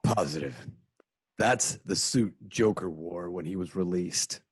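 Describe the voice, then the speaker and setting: A man playing Batman in a home-recorded radio play, with deliberate, mock-serious deductions. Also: sits low, husky, no echo. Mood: deadpan. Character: Batman, grave adult male crime-fighter, smug deductive. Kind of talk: amateur radio play reading. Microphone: home mic, dry room.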